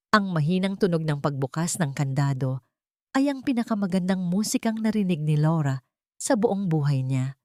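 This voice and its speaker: A woman's voice: on the low side, no room sound. A woman narrating a dramatic audio story with an emotive reading.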